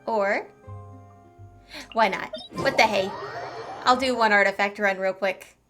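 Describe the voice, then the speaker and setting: A woman's voice: medium pitch, slow. A woman giving casual, playful game commentary, talking to herself and tossing in little sound words. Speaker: gaming streamer. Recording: game music behind the voice. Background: video game music.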